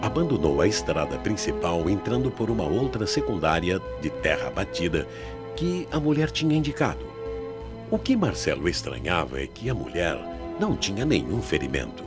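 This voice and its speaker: Very deep, dramatic Brazilian male narrator. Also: very animated. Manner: suspenseful, deliberate phrasing. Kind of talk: scripted dramatic mystery narration. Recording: 1990s AM radio drama with music bed.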